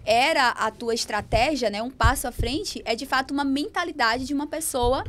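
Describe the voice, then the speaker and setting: A woman's voice: high-pitched, moderate pace, fairly bright tone. A woman hosting an interview, affirming her guest and echoing his words back to him. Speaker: interview host. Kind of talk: interview conversation.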